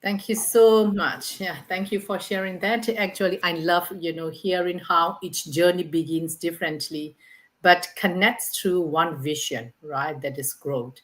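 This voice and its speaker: A woman who hosts an online business panel and moves it along with upbeat, scripted questions. Her voice is slightly low. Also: steady tone, a little slow. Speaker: host and moderator.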